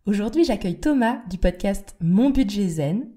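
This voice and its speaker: A woman's voice: mid-range voice, animated, moderate pace, warm tone. A woman hosting a podcast interview, reading a scripted intro then chatting in a friendly way with fillers. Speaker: podcast host.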